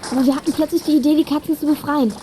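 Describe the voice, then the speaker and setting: A female actor playing a polite, hurried young girl detective in a children's radio play. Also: high voice, steady tone, mellow, slight background noise, lightly acted, rough recording. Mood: apologetic. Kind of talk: 1980s German children's radio play acting.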